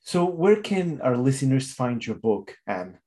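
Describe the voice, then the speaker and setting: A man's voice: mid-range voice, sing-song, measured pace, warm tone. A man hosting an interview podcast in a formal, effusive and motivational manner. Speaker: podcast host.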